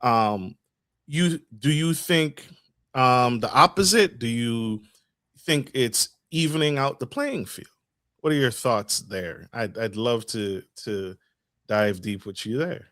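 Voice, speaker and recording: A man's voice: medium pitch, slow pace, fairly bright tone, no room sound. Dry-sounding male podcast host. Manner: asks long, stacked questions with emphatic rephrasing. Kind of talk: podcast interview.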